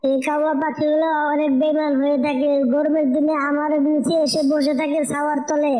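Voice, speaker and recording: A thirsty old-story cartoon mango tree played by a woman, full of self-pity. The voice is high and soft-toned, with little melodic swing, lamenting its hard life in a plaintive way. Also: monotone, a little fast, faint music behind, lightly acted. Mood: hurt, bitter.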